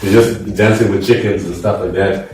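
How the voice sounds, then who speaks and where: A man's voice: sits low, steady tone, moderate pace, a little mellow. A young man from Zimbabwe in a casual panel chat, talking in slang and mimicking other people, full of energy. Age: young adult. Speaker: panel guest. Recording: echoey room, mic at a distance, music underneath.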